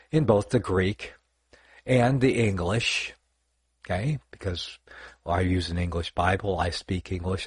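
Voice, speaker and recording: Older man, deep voice, slightly husky, rambling livestream Bible talk, dry close sound. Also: dry recording. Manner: rambling, self-correcting, lots of fillers. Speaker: online Bible teacher. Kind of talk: casual livestream teaching.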